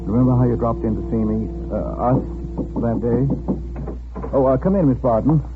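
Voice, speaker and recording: An actor playing a mild, evasive, nervous husband in a 1940s radio drama, hesitant and stammering. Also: male voice, medium pitch, normal speed, close to an everyday voice. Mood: nervous, polite. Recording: tinny old radio recording with music.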